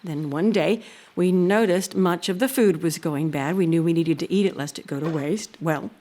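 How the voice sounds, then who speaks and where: An actress playing an earnest, proud housekeeper who tells a haunting tale in a deliberate, expressive way. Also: female voice, on the low side, natural voice. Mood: wry, disapproving. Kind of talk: dramatic audio-drama storytelling.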